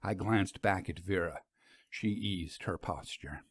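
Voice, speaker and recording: A man's voice: low voice, unhurried, husky, no room sound, studio quality. A man reading a mystery story aloud as scripted narration.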